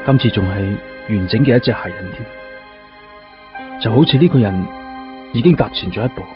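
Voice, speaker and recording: Man acting troubled police detective, Hong Kong Cantonese accent, grave measured radio-play monologue, slightly dull recording, music underneath. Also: mid-range voice, lively intonation, natural voice. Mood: grave suspicion. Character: troubled male police detective, brooding and conflicted. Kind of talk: radio drama inner monologue. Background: clear background music.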